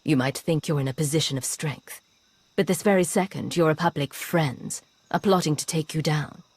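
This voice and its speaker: A woman's voice: low-pitched, normal speed, darkish tone, husky, no echo. A woman voicing a composed imperial spy in a video game, controlled and probing. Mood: challenging. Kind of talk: video game character acting.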